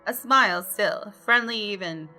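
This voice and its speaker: A woman's voice: medium pitch, measured pace, pushed and bright, soft background music. A woman reading visual novel narration aloud as a gaming video creator, in a dramatic storytelling way with casual asides.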